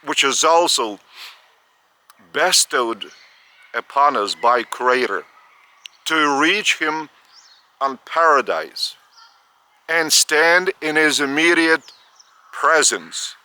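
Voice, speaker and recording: An older man with a Lithuanian accent gives a spiritual teaching outdoors, speaking slowly with halting repeats. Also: a little high, lively intonation, bright, forceful tone. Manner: slow, halting, repeats words. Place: outdoors by a school stadium.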